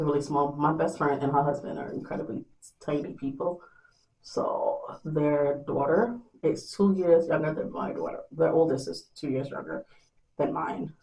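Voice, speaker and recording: A young woman vlogger in a small room at home, talking softly and rambling to the camera at night, sometimes losing her train of thought. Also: rough recording. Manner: soft and low-voiced at night. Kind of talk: casual late-night chat to camera.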